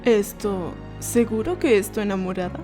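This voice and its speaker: A woman playing a nosy, teasing mother of a grown son in an animated story. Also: medium pitch, normal speed, natural voice. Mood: suspicious, teasing. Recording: voice over background music.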